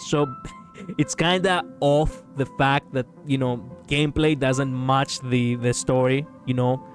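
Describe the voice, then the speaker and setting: Raspy-voiced male game streamer. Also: medium pitch, fairly even intonation, slow, faint music behind. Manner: rambling, laughing, swearing. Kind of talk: casual gaming stream chat.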